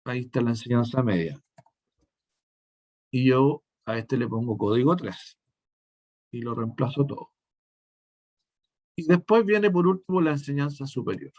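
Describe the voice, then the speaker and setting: A male teacher, walking through a software demonstration step by step and often restarting his sentences mid-thought. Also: medium pitch. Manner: step-by-step explaining, frequent self-corrections and restarts. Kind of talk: screen-share software tutorial.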